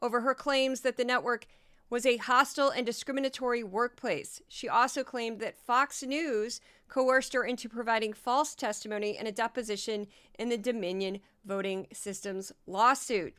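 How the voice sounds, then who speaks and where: A woman's voice: on the high side, moderate pace, a bit bright, clean tone. A woman hosting a news commentary podcast, talking sardonically and directly to her listeners while she is losing her voice. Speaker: podcast host.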